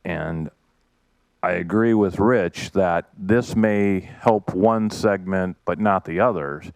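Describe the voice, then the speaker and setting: A man with a very low, smooth voice makes his points slowly and deliberately on a conference panel. The sound is dry and close. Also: dry recording.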